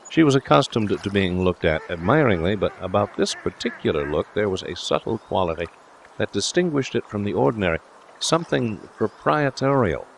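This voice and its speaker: Deep voiced guy reading a story audiobook, literary narration, little bit of noise behind him. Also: creaky.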